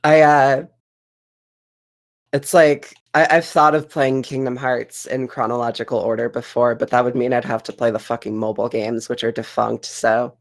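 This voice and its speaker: Gravelly, creaky-voiced man on a podcast. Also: medium pitch, level tone, moderate pace. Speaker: podcast panelist. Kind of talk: casual group podcast chat.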